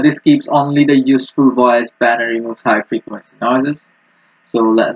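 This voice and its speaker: A man giving a technical walkthrough as a student presenter, speaking in a halting, hesitant way. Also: mid-range voice. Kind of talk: technical screen-recording walkthrough. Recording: muffled, roomy lo-fi microphone.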